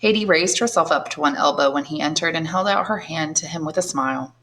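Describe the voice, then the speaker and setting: A woman, an English teacher, reading a classic novel aloud in steady, clear storytelling. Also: low voice, brisk. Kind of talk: audiobook narration.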